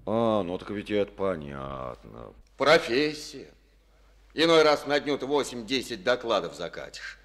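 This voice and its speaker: An actor playing a boastful, rambling middle-aged district boss with folksy speech, in an old radio play. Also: male voice, fairly low pitch, sing-song, unhurried, a bit bright, full character voice. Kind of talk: Soviet radio play acting. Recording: old studio radio recording.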